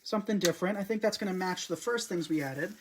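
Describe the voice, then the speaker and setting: A man's voice: high voice, fast, slight background noise. A man hosting a live wreath-making stream, chatty and upbeat, often talking to his viewers as he works. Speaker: host, wreath designer. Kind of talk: live craft demonstration.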